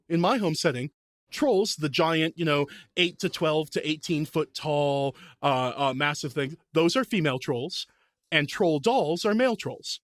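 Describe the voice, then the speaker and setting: Higher-pitched man, enthusiastic storyteller. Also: dry recording, clean studio sound. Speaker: podcast guest, game master. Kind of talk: animated casual explanation of fantasy lore.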